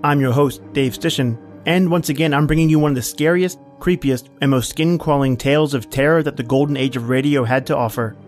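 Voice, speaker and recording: A man's voice: a little high, fairly quick, few pauses, soft background music. A man hosting a podcast, giving a scripted, upbeat introduction. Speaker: podcast host.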